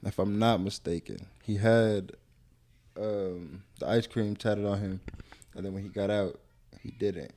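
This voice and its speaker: A man's voice: fairly low pitch, steady tone, a little slow, dark tone. A man as a podcast guest, chatting casually with loose, profane talk and easy laughter. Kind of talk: casual chat.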